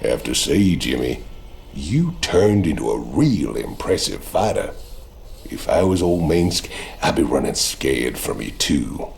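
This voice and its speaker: A hulking mercenary with a rumbling, gravelly growl that sits right at the bottom of the range. He drawls slowly and taunts, and his pitch still swings widely. Orchestral music plays behind him. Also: very animated, a little mellow, exaggerated voice. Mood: taunting. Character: brash hulking male mercenary convict, mocking. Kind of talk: video game cutscene acting.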